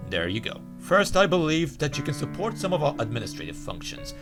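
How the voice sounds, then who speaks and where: An earnest, polite male assistant principal from a visual novel, acted out by a man reading aloud. He speaks formally and encouragingly with a lively rise and fall, and music plays strongly behind him. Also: a little high, brisk, pitched above the performer's own voice. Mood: businesslike.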